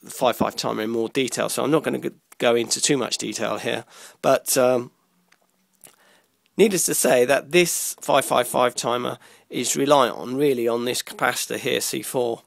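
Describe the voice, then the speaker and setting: Gravelly man giving an electronics tutorial. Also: mid-range voice, steady tone, normal speed, dry recording, studio quality. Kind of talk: informal step-by-step technical explanation.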